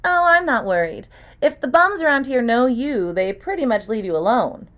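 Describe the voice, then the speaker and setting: A woman voicing a precocious young girl in an audiobook, calm and clear, with a cynical, worldly edge. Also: on the high side, moderate pace, voice pushed up. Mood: breezy, dramatic. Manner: clear, calm, worldly beyond her years. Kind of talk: acted audiobook dialogue.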